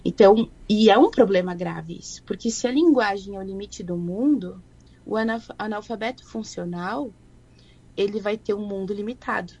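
A woman, explaining literacy as a guest in a relaxed, reflective way. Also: medium pitch, moderate pace, husky, poor audio. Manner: reflective, thoughtful. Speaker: guest educator. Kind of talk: conversational explanation.

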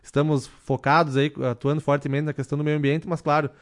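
Man, 30s, radio interview guest, measured, many fillers, from southern Brazil. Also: a little high, little pitch movement. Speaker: city councilman, radio guest.

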